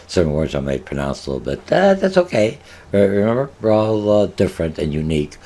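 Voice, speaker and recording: A man's voice: low-pitched, sing-song, brisk, dark tone. An older man whose first language is Polish, giving an online college marketing lecture over slides, rambling with many filler sounds, restarts and rhetorical questions. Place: home office, indoors.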